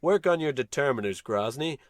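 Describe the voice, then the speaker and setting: A man's voice: medium pitch, expressive, fairly quick, dry recording, natural voice. Man playing a pompous, dim spaceship captain, close dry sound. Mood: bossy, pompous. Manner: pompous, bossy, self-important. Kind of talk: old-time radio comedy acting.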